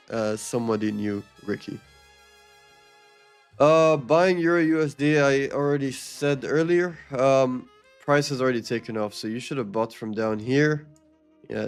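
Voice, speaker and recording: A man hosting a live trading stream, explaining charts and answering chat in a casual coaching way, often checking in with "okay?". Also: mid-range voice. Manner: reads viewer comments aloud and answers them. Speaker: livestream trading host.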